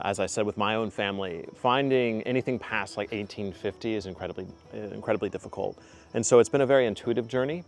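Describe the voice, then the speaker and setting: A young man hosting his own channel, giving heartfelt spiritual advice in a casual, earnest way, with frequent ums and uhs. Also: on the low side. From United States. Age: young adult. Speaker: channel host.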